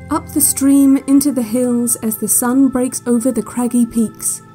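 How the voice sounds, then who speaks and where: Mellow, high-voiced soothing cassette instructor. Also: female voice. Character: calm, controlling female relaxation tape instructor, quietly ominous. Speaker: narrator of relaxation cassettes. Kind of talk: guided relaxation audio drama. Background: new-age music.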